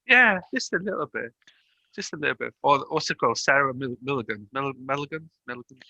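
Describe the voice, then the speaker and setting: A Welsh man hosts a casual podcast chat, cracking jokes and laughing as he goes. His voice is very bright and piercing, his pitch swinging around energetically, and the sound is dry and close. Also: lively intonation, a little fast, gravelly, dry recording, low-quality recording. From Wales. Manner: chatty, jokey, laughs often. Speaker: podcast host.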